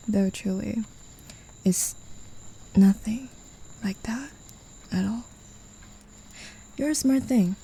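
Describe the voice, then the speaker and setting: A woman voicing a mocking, gravelly demon. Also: medium pitch, drawn-out words, pauses often, warm tone, full character voice, rough recording. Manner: condescending, teasing, often whispering. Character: possessive, mocking female demon claiming a human. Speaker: voice actor. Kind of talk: ASMR roleplay.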